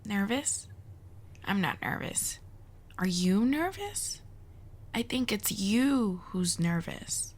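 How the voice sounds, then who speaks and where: A woman's voice: medium pitch, sing-song, slow pace, long pauses, slight background noise. Woman acting a protective, nervy young superheroine. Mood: nervous, reassuring. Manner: informal, interjecting, reassuring. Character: fiery young superheroine, nervous, protective, blurting. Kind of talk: ASMR roleplay acting.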